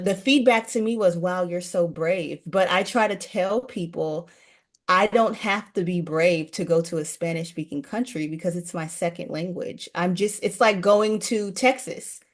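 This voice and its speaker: A woman guest running a language program, chatting earnestly in a casual interview. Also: mid-range voice.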